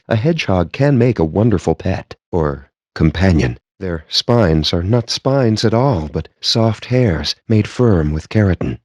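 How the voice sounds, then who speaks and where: A man's voice: fairly low pitch, dry recording, clean studio sound. A man voicing a wry, pompous, foul-mouthed gamer geek in video game dialogue, with a sardonic, wordy and theatrical delivery. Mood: earnest, grandiose.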